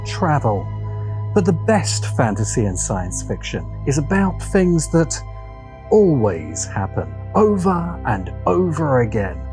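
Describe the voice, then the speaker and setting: Mellow, animated male video essayist. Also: medium pitch. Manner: measured, dramatic, deliberate phrasing with pauses. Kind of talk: scripted narration, dramatic video essay. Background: background music.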